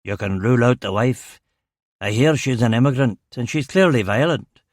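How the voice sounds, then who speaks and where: A man voicing a blunt, burly older police superintendent in an audiobook, with a gravelly Glaswegian accent. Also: mid-range voice, animated, normal speed, no echo, clean studio sound, natural voice. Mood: curt, commanding. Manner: blunt, authoritative. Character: burly, angry older police chief superintendent, commanding but protective. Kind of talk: audiobook character dialogue.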